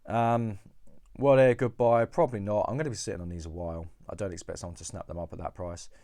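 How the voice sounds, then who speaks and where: A man's voice: fairly low pitch, steady tone, fast, warm tone, no echo, studio quality. A coin dealer with a cold, chatting casually to camera about coins he bought, rambling and repeating words. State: has a cold.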